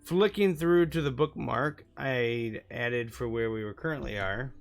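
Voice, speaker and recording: A man's voice: mid-range voice, measured pace, vocal fry. A man reading a visual novel aloud as a gaming YouTuber, sometimes stumbling and rereading phrases. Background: music from the game.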